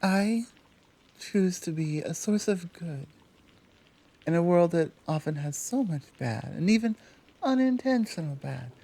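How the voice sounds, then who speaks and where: A woman playing a gentle, self-assured Amazon rescuer in an intimate ASMR roleplay, speaking softly with deliberate pauses. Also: fairly low pitch, animated. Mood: gentle, reassuring. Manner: soft, unhurried delivery with deliberate pauses. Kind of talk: intimate ASMR roleplay, one-sided conversation.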